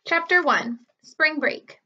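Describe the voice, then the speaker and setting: Young woman, high voice, casual teacher read-aloud, slightly dull webcam sound. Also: slow, bright, forceful tone. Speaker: elementary school teacher reading aloud. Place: small furnished room at home, indoors.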